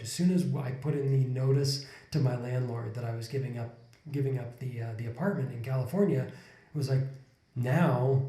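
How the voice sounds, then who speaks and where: A man's voice: medium pitch, warm tone, reverberant. A man in his forties, co-hosting a podcast in casual conversation, reflective and rambling, often restarting his sentences. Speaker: podcast co-host. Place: indoor room, sitting on a couch. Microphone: desk condenser mic in front of couple.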